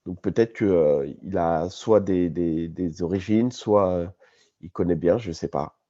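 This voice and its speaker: A French man co-hosting a podcast argues his case with insistence, nasal from a cold. His voice sits warm and mellow. The recording sounds dull and lo-fi. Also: low-pitched, unhurried, no room sound. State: has a cold, coughing. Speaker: podcast co-host. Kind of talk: casual podcast debate.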